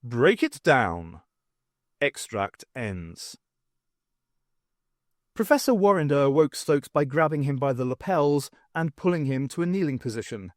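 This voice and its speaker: A man's voice: on the high side, animated, unhurried, darkish tone, dry recording, studio quality. A man reading an audiobook as narrator, in a scripted storytelling style.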